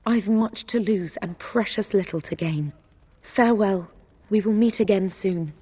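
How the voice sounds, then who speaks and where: A woman playing a guarded, shrewd noblewoman informant in a fantasy game, speaking in a deliberate, confiding way. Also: medium pitch, mellow, husky. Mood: secretive, grave. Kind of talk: scripted fantasy game dialogue.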